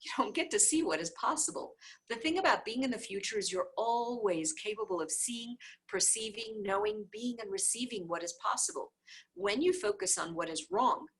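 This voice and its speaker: Expressive, slightly husky woman reading aloud. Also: medium pitch, normal speed. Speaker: reader of a book aloud. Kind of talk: book read aloud, informal.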